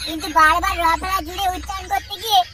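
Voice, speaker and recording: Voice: extremely high pitch, steady tone, quick pace. A performer voicing a cheeky, teasing young cartoon man, in slangy comedy dialogue. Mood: cheerful.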